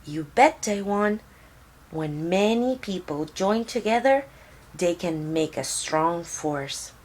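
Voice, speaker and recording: A woman reading the part of a loving, proud activist mother in a storybook, gentle and earnest. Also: fairly low pitch, animated, takes it slowly.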